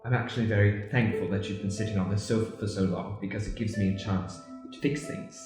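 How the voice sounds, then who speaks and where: A man's voice: on the low side, steady tone, fast, voice pushed down, natural voice. A man playing an overzealous, earnest hardware store clerk in his forties with stilted formality, in an improvised Victorian radio play. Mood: eager. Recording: very echoey room, music behind.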